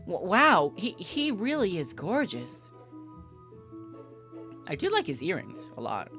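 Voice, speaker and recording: A woman's voice: lowish voice, huge pitch swings, slow pace, a bit bright, faint music behind. A woman livestreamer reading a visual novel aloud and commenting casually.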